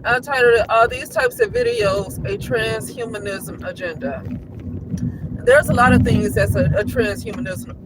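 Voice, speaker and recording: Man vlogger with a very high voice, rambling and repetitive, loud street traffic behind. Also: sing-song, normal speed. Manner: repetitive, restarts often, rhetorical questions. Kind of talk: casual rambling monologue.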